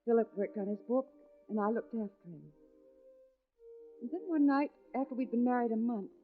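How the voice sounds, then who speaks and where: An actress playing an anxious, devoted wife in a 1940s radio suspense drama, speaking in a dramatic, deliberate manner. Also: female voice, fairly high pitch, lightly acted. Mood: brooding, uneasy. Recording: vintage radio, phone-like band, music behind.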